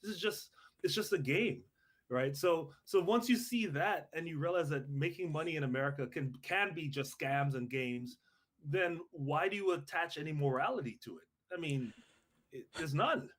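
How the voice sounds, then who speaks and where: Male podcast co-host from Trinidad, informal and musing, voice a little high. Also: expressive, normal speed, fairly bright tone. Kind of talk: casual chat.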